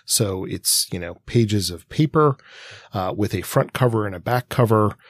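A man co-hosting a literary podcast, musing aloud in a halting, conversational way and reworking his thoughts as he speaks. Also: lowish voice, no echo, clean studio sound. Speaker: podcast co-host. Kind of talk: conversational literary discussion of a comic.

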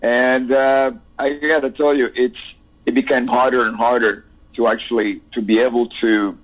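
A man in his sixties with a Cuban accent speaks in a husky, slightly breathy voice, restarting his sentences and filling pauses with "you know." His voice comes through a thin, tinny phone line with little low end. His pitch sits in an ordinary middle range for a man. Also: flat delivery. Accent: Cuban Spanish. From Cuba. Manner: halting, repeats words, many "you know" fillers. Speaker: musician guest. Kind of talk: interview over the phone.

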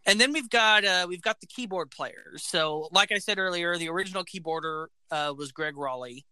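A man with a high voice, talking eagerly about a band in casual podcast chat, full of facts and "like"s. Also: moderate pace, pushed and bright, clear, resonant tone, dry recording. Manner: enthusiastic, fact-filled, says "like" often. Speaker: podcast co-host.

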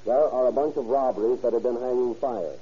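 Muffled old radio, guy playing stern police boss, formal commanding talk, really dark husky voice. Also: medium pitch, brisk, lightly acted. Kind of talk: 1930s radio acting.

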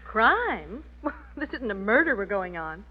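Lively sensible radio wife. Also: female voice, medium pitch, measured pace. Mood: amused, concerned. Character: sensible, supportive wife and mother. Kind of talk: 1940s radio sitcom acting. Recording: muffled old radio recording.

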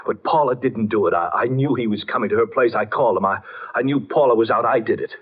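A man playing an agitated, protective, stammering suspect with a husky voice in a 1950s radio melodrama. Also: fairly low pitch, fast talker. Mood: desperate. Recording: tinny vintage 1950s radio recording.

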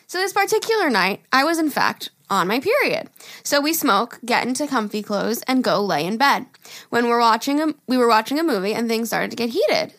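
Giggly high-voiced woman podcast host. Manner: giggly, animated, crude joking. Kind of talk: casual chat, reading listener stories aloud.

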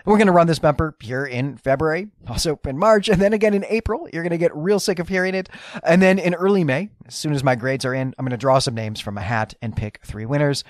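A man's voice: fairly high pitch, fast, no echo, clean studio sound. A man talking casually as a podcast co-host, chatty and lightly self-deprecating.

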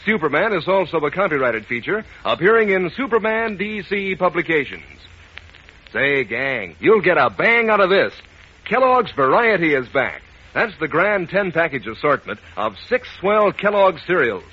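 A man working as a 1940s radio announcer, giving a folksy, enthusiastic sales pitch to children. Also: very animated, normal speed, husky. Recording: muffled vintage radio broadcast.

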